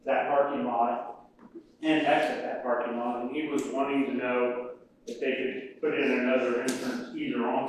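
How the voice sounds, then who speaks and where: A man, a city official, explains a matter to a municipal board in a halting, step-by-step way with many fillers. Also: medium pitch. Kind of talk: meeting report. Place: municipal meeting room. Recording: very echoey room, distant mic, faint hiss.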